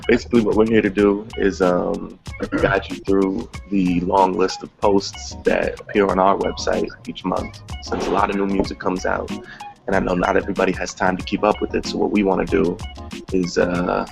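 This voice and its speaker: A man's voice: sits low, little pitch movement, normal speed, gravelly. A man from New Jersey hosting a casual music talk show. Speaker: host.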